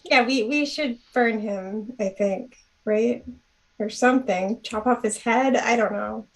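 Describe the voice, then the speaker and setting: A woman's voice: on the high side, unhurried, rough recording. A woman chatting casually as a player in a tabletop game, with a tentative way of talking. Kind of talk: casual chat.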